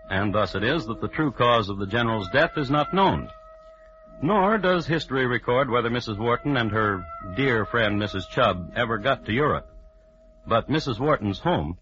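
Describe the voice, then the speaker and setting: A man playing a dry, formal crime historian, narrating in deadpan 1950s radio style. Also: medium pitch, a little hiss or hum. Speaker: narrator and host. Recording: muffled vintage radio broadcast recording.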